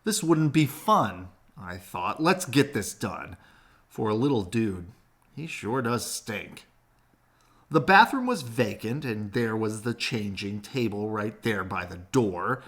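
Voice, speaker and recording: A man reading a children's picture book aloud in an expressive storytelling way. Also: fairly low pitch, takes it slowly, husky.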